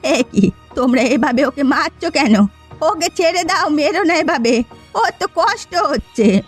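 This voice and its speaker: A scheming old village woman from a cartoon, acted by a woman, with a high-pitched voice. She sounds aggrieved and spiteful, forever goading, over faint music. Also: lively intonation, unhurried. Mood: alarmed. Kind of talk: cartoon voice acting.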